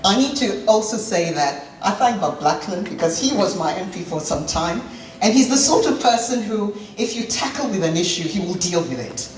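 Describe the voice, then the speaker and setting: A woman's voice: medium pitch, lively intonation, normal speed. A middle-aged woman candidate giving an earnest, passionate campaign speech at a local debate. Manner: earnest, passionate, repeats herself. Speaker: election candidate. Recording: roomy hall, distant mic, faint noise.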